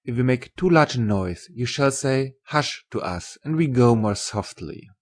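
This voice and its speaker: A man playing a jolly, gentle middle-aged German professor with a deliberate, courteous manner in an audiobook dramatic reading. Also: mid-range voice, expressive. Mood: earnest, playful. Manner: courteous, deliberate, foreign phrasing.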